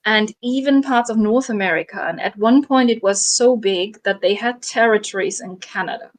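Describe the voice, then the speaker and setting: A young adult woman casually telling a history story, with frequent ums and soft laughs. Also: medium pitch, moderate pace. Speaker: guest storyteller.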